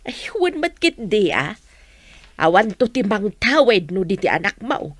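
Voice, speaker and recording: A woman playing an expressive, conversational village mother in a Filipino comedy radio drama. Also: mid-range voice, moderate pace, no room sound. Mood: concerned. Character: village mother talking over her son's household.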